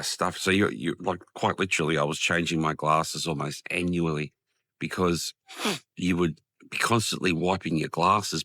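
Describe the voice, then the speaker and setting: A low-pitched Australian man, croaky with a cold, with a gravelly, bright edge to his voice. He rambles casually to the camera with plenty of ums and restarts. The sound is dry and close. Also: dry recording. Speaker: vlogger. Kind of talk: casual vlog talk to camera. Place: outdoors in a quiet park.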